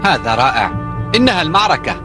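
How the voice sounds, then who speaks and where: Bold, fast-talking hero over loud music. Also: male voice, a little high, sing-song. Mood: eager. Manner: assured, heroic. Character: bold, confident male battle hero. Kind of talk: dubbed cartoon acting. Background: loud dramatic music.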